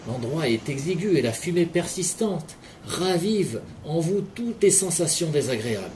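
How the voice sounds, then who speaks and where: A man leading a guided relaxation session in a calm, measured voice, speaking directly to the listener. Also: fairly high pitch, a little hiss or hum. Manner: calm, measured second-person guiding. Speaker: hypnotherapist guide. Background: soft background music.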